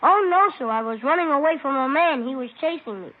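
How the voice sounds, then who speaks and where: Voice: high voice, animated, a little fast, narrow, tinny audio. A performer playing an eager, boastful six-year-old boy with an earnest, childlike manner in an old radio drama. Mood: insistent. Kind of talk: 1950s radio drama acting. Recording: thin, band-limited old radio recording.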